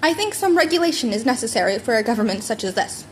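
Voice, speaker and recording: A woman's voice: on the high side, sing-song, fast. A woman voicing a formal, earnest, easily appalled teenage schoolboy in an amateur audiobook. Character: prim, formal, easily appalled teenage boy class stickler. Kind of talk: amateur audiobook character reading. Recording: home recording with background noise.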